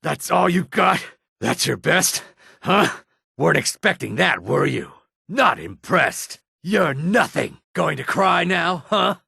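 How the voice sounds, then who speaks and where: A man voicing a gruff, combative male lizard-man townsman in a fantasy video game, with a raspy, throaty voice and a curt, theatrical delivery. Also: a little high, very animated, slow pace, pushed and bright, no room sound, studio quality, exaggerated voice. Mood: taunting, threatening. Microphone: close studio microphone, dry.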